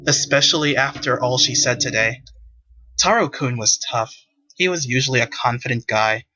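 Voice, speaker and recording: A man's voice: mid-range voice, pushed and bright, lo-fi sound. A man reading scripted story narration for a visual novel.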